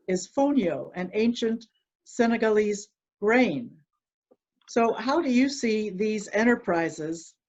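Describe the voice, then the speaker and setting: A woman's voice: mid-range voice, a little mellow, highs cut off. A woman moderating a webinar, putting a prepared interview question to a guest.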